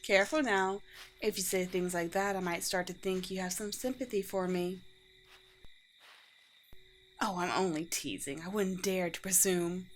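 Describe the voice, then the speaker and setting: A woman playing a courteous, teasing, world-weary vampire queen in a roleplay audio drama, with formal, old-fashioned and gently wry phrasing. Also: lowish voice, moderate pace, pauses often, lightly acted, low-quality recording. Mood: gracious, playful. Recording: clear background noise with ambience.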